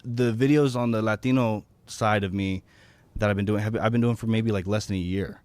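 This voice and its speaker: A man with a fairly low voice speaks as a guest in a relaxed interview. He talks in a halting, conversational way, doubling back to rephrase his thoughts. The sound is dry, with hardly any room on it. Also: no room sound. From Florida, United States. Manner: halting, self-correcting, restarts thoughts often. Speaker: guest, stand-up comedian.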